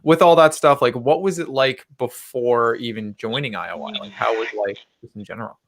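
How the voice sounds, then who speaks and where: A man's voice: mid-range voice, normal speed, a bit bright, no echo. A man co-hosting an interview, eager and full of praise as he asks a question. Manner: eager, repeats praise words. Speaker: co-host, practitioner.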